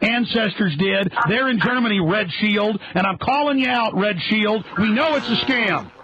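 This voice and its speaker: A man with a raspy voice, a combative radio host confronting his guest with accusations. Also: sits high, moderate pace, pushed and bright, slight background noise. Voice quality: husky, raspy. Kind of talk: confrontational interview. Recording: dry, dull radio recording.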